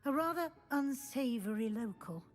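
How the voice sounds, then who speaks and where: A brisk female professor from a fantasy video game. Her voice sits fairly high and she gives instructions formally and crisply. Faint music plays behind her. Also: lively intonation. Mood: brisk, advising.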